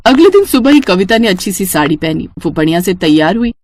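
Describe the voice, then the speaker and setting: A woman's voice: medium pitch, very animated, brisk, darkish tone, no room sound. A woman narrating a scripted family story as a storyteller.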